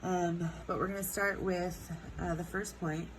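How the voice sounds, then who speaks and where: A congested woman with a low voice and a stuffed-up nose walks through a chemistry lesson. She talks slowly, stretching words and filling pauses with ums. The recording carries a steady noisy hiss behind her. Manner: unhurried, many ums, drawn-out words. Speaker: chemistry teacher.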